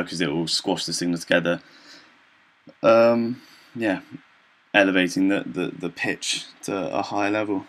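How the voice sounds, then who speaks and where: A young man giving an informal student demonstration of software, talking in a hesitant, halting, loosely explanatory way. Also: low-pitched. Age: young adult. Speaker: student presenter.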